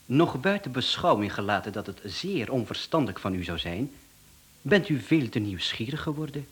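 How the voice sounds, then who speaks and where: A mysterious male caller in a radio drama, with a Flemish accent and a husky voice. He is down on his luck, courteous yet evasive, and keeps insisting on being heard. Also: medium pitch, big pitch swings, moderate pace. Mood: polite, assured. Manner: polite but evasive, insistent. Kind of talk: radio play acting.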